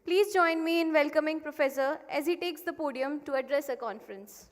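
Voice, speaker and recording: A woman's voice: high voice, a little fast. A woman serving as conference emcee, reading a formal speaker introduction that stumbles in places. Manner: formal, slightly stumbling read. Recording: long hall reverb.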